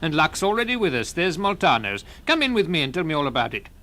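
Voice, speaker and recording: A man playing a sociable, curious gentleman who narrates a literary tale and speaks in its dialogue, genial and expressive. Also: fairly high pitch, fast talker.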